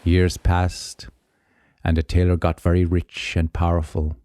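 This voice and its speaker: A man narrating a folk tale as a storyteller, with theatrical, deliberate phrasing. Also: deep voice, completely flat delivery, mellow, slight background noise.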